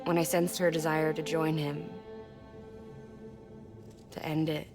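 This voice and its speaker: Game role: an earnest female engineer, low voice, tender and candid, measured delivery, music underneath. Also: level tone. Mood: confessional, wistful. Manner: measured, explanatory, short phrases with pauses. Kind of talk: video game cutscene acting.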